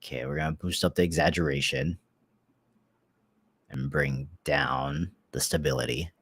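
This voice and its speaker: A man hosting a casual livestream, chatty and excitable, repeating himself as he experiments. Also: very deep voice, moderate pace, husky. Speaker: livestream host.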